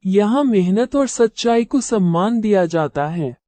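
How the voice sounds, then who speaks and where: A woman voicing a wise, grave elderly male owl who judges disputes in a cartoon fable, solemn and deliberate. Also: mid-range voice, animated, warm tone, no echo, voice pushed down, close to an everyday voice. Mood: stern, grave. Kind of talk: cartoon moral-story character dialogue.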